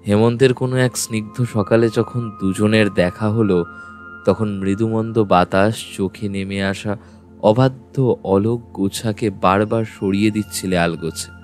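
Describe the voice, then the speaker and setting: Man as slow, low-voiced lovelorn letter writer. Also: a little mellow. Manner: poetic, wistful, deliberate. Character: lovelorn man voicing his unsent love letter. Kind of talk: dramatic reading of a love letter in audio story. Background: music.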